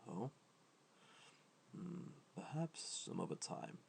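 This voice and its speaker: A man voicing a gentle, ancient god who speaks softly, tenderly and with measured hesitation, in an ASMR comfort roleplay. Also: huge pitch swings, slight background noise, exaggerated voice. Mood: modest, pleased. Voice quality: low, soft, creaky, breathy at times.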